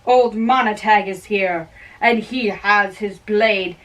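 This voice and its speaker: A woman's voice: medium pitch, takes it slowly, a bit bright. A woman playing a belligerent, indignant old lord in an amateur stage play reading. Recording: distant microphone.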